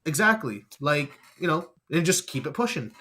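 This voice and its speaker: A man's voice: on the high side, big pitch swings, moderate pace, bright, forceful tone. A man hosting a podcast, chatting casually and laid-back, often asking "you know what I mean". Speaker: podcast host, rapper.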